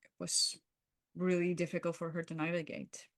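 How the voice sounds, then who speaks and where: A woman who co-hosts as a coach, talking conversationally with hesitant, pausing phrasing. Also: fairly low pitch, level tone, no echo, clean studio sound. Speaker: podcast co-host and coach with clients.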